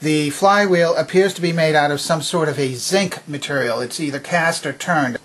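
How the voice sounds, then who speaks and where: A man presenting a hands-on demonstration in a methodical, explanatory way, with a slightly husky voice. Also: fairly high pitch, normal speed, a bit bright. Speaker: presenter demonstrating vintage tape recorder. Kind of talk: instructional demonstration narration. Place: indoor home workbench.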